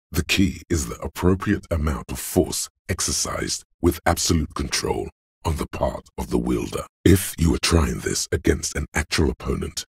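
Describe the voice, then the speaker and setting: An actor playing the gruff, domineering inner voice of physical strength in a video game, raspy and deliberate, narrating like a tough coach. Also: male voice, no room sound, studio quality, heavily acted. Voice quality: very deep, gravelly, raspy. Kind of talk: scripted game narration, dramatic second-person.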